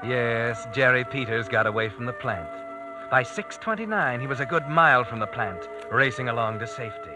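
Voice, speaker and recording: An actor playing a sardonic, all-knowing mystery narrator in a 1940s radio drama, knowing and ominous. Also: male voice, mid-range voice, expressive, moderate pace, close to an everyday voice, low-quality recording. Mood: knowing suspense. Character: sardonic omniscient male mystery narrator, the Whistler. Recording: vintage radio broadcast with music under.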